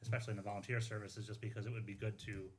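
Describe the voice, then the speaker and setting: Quick, lowish-voiced man telling stories. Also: level tone, a little mellow. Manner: informal, uses "like" often, quotes dialogue. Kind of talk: casual anecdotal storytelling.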